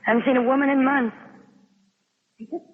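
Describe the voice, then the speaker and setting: An actress playing a kind, weary young American army flight nurse in a 1950s radio drama, reassuring and wry. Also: female voice, sits high, fairly even intonation, brisk, big-room sound, narrow, tinny audio, natural voice. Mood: reflective, weary. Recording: old radio broadcast, thin and hollow.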